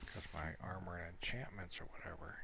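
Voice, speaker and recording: A man giving casual, low-key gameplay commentary in a halting, rambling way. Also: fairly even intonation. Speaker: gamer narrating own play. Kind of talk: casual unscripted gameplay commentary, soft ASMR-like. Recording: clearly noisy home recording.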